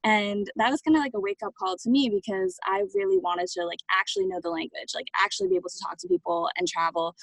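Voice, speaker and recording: A young woman talks through her answers as an interview guest. She is casual and chatty, leaning on "like" and "um" as she goes. The sound is dry and close, with no room echo. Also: medium pitch. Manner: chatty, many "like" and "um" fillers.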